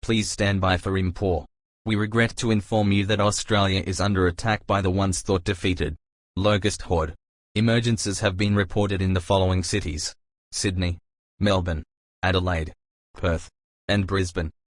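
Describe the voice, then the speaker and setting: A man playing a solemn emergency alert announcer, reading scripted warnings in a formal, measured way. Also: deep voice, steady tone, close to an everyday voice. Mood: grave, urgent.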